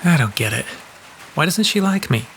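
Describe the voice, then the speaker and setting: A man's voice: a little high, very animated, moderate pace, gravelly, fairly bright tone, exaggerated voice. A man in an audio drama playing a kindly, easygoing, slightly sheepish guy with casual, rambling phrasing. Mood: friendly, puzzled. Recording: faint rain noise behind the voice.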